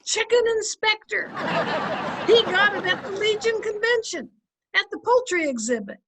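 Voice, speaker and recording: A woman playing a patient middle-aged wife with dry wit, folksy and gently teasing, in 1950s radio comedy style. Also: very high voice, animated, normal speed, noisy background. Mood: wry, helpful.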